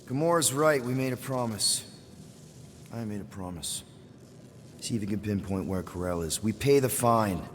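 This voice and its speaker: An actor playing an earnest, scrappy space crew leader with a casual, placating way of talking in a video game. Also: male voice, lowish voice, animated, moderate pace, long pauses, long reverb tail, a little hiss or hum, lightly acted. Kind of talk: naturalistic game acting.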